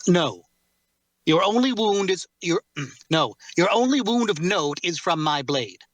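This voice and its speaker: A man playing a formal, guarded cursed warrior in an audio drama table read, speaking courteously in measured, deliberate phrases. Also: high-pitched, animated, a bit bright, exaggerated voice. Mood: matter-of-fact.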